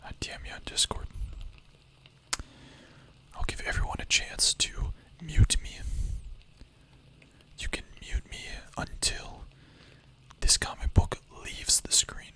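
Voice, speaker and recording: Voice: sharp tone, poor audio. A young adult streamer whispering ASMR-style, chatting casually with chat, full of filler words and the odd swear.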